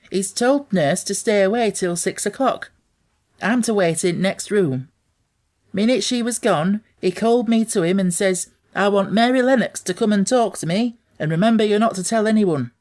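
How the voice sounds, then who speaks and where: A man's voice: sits high, lively intonation, moderate pace, a little mellow, pitched above the performer's own voice. Storybook role: young Yorkshire servant girl, homely and dutiful, broad dialect; performed by a man. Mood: puzzled. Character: plain-spoken Yorkshire young housemaid, anxious and dutiful.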